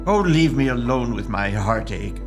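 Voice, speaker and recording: A man playing a melancholy widowed Victorian gentleman in a formal, theatrical audio drama style. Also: mid-range voice, lively intonation, moderate pace, music in the background, natural voice. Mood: grieving, bitter. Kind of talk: period audio drama acting.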